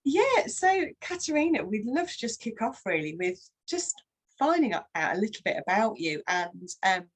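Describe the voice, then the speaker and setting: Female podcast co-host, animated intonation, stumbling question style. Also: mid-range voice, normal speed, slightly rough. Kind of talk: podcast conversation.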